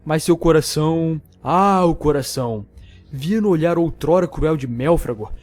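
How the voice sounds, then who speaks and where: Higher-voiced Brazilian man narrating fantasy. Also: measured pace, a little mellow. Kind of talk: dramatic scripted fantasy storytelling. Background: music.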